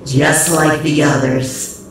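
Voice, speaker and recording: A man voicing a sadistic demonic creature from a horror story, gleefully taunting his victims with drawn-out, mocking menace. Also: fairly high pitch, level tone, noisy background, pitched above the performer's own voice. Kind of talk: horror story character reading. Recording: echoing voice buried in loud ambience.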